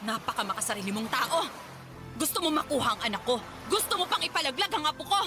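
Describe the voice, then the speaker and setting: A woman's voice: high-pitched, lively intonation, fast talker, over music. An actress playing a furious, protective middle-aged Filipino mother, scolding and confrontational in a radio drama. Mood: enraged.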